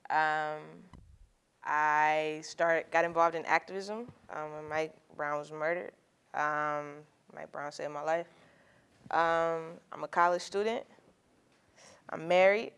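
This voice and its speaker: A young woman giving a short, shy self-introduction on an activist panel, with soft laughs between phrases. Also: low-pitched, steady tone, slow, smooth. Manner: shy, giggly.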